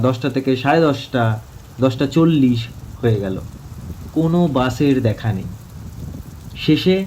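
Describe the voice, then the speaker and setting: A man tells a horror story at a medium pitch, over clearly noisy ambience. He narrates in the first person with suspense, breaking his phrases into deliberate, dramatic pieces. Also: mellow.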